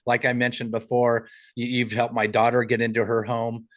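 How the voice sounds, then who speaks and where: A man hosts a live podcast call, friendly and encouraging as he introduces guests. He keeps the talk moving and builds excitement. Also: mid-range voice, normal speed. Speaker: podcast host. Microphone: remote call.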